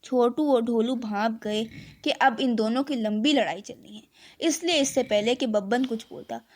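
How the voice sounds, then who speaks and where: A woman's voice: fairly high pitch, moderate pace. A woman narrating a scripted children's story as the storyteller.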